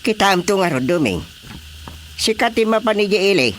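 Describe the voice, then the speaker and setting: A man acting an excitable, chatty village companion in a radio comedy drama, teasing and exclamatory, with an Ilocano Filipino accent. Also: sits high, fairly quick, a little hiss or hum. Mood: excited.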